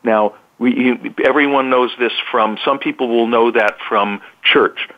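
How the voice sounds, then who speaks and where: A man with a baritone voice, calmly explaining a technique as a guest in a radio interview. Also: a little slow. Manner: calm, explanatory, sings short demo notes. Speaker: guest author. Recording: dull lo-fi radio sound.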